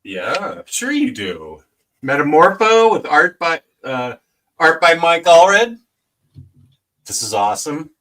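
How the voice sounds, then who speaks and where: A man on a comics podcast panel, slowly walking through a book's contents with enthusiasm and frequent fillers. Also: fairly high pitch, very animated, fairly bright tone. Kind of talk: casual chat, listing book contents.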